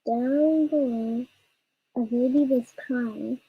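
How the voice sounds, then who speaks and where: A young girl reading a story aloud haltingly, stumbling and repeating words. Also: a little high, slightly rough, phone-quality sound. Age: child. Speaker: child co-reader of a storytime.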